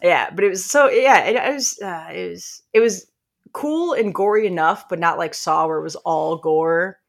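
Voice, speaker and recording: A woman podcast co-host with a lowish voice and strong vocal fry. She talks casually, telling things in loose, informal bursts and voicing reactions as she goes. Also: moderate pace. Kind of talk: casual chat.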